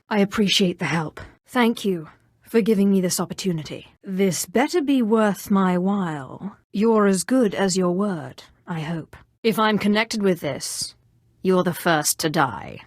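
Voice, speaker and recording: An actress voicing a cold, sardonic female Sith sorceress in a video game, with an imperious, crisply controlled delivery. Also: medium pitch, no room sound, clean studio sound. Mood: measured, courteous, then cold menace. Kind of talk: scripted video game character dialogue.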